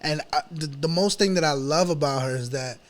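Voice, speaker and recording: Man, New York accent, fairly high pitch, casual interview guest, informal slang, repeats phrases. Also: a little fast. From New York City. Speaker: rapper, podcast guest.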